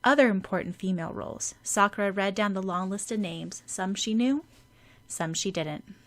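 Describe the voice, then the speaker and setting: A woman narrates a story as an audiobook reader. Her voice is bright and clear-edged, sitting at a middling pitch, with scripted prose delivered as narration. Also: normal speed. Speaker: audiobook narrator of fan fiction.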